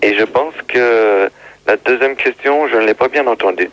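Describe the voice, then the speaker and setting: A man's voice: mid-range voice, moderate pace, fairly bright tone, muffled recording, natural voice. A man voicing a talkative male guest who says he is from Spain and keeps arguing and interrupting. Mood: argumentative.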